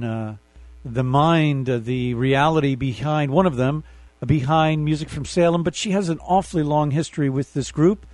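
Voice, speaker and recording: A man's voice: fairly high pitch, no echo. A man hosting a community radio interview, a conductor who talks in long reflective asides. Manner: rambling, reflective, warm asides.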